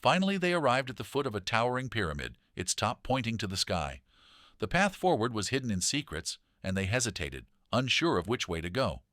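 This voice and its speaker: A man reading a children's story aloud in an expressive, dramatic storytelling manner. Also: lowish voice, a little fast, no room sound, clean studio sound. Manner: dramatic, animated delivery.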